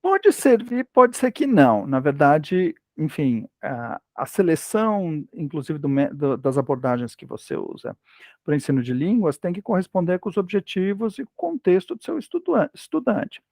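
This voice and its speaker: Creaky-voiced man explaining teaching methods. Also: a little high, very animated, moderate pace, no echo, clean studio sound. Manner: hesitant, restarts often, conversational. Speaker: language teaching lecturer. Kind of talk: academic talk, casual explanation.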